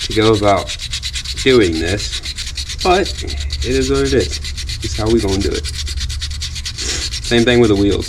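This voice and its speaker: A man talks his way through a DIY wheel job in a relaxed, chatty, self-deprecating manner. His nose sounds stuffy from a cold. Faint noise sits behind him outdoors. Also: on the low side. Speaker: car DIY vlogger. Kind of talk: casual how-to narration. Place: outdoors while working on wheels.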